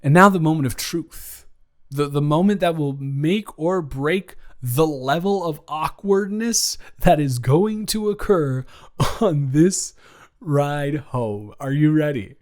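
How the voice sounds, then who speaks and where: A man's voice: on the high side, lively intonation. A young man playing a nervous guy confessing love to a best friend, rambling and playful, in an improvised romantic audio roleplay. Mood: nervous, teasing. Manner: halting, rambling, self-interrupting, chuckling.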